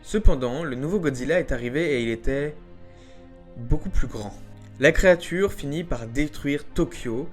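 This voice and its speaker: A man's voice: on the high side, moderate pace. A man narrating a scripted video essay in an explanatory way, slipping into conversational, informal asides. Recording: voice-over over background music. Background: music.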